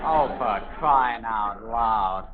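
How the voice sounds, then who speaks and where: An actor playing a brash, sarcastic, exasperated American teenage son in a 1950s radio sitcom. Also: male voice, on the low side, drawn-out words, crowd noise. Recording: noisy dull 1950s radio recording.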